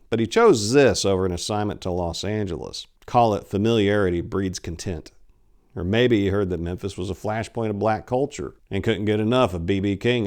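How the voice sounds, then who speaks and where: A man in his forties reading a story aloud in a theatrical, deliberate, scripted narrating style. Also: fairly low pitch. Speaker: host, author reading own short story. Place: indoors, book-lined room. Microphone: lapel mic.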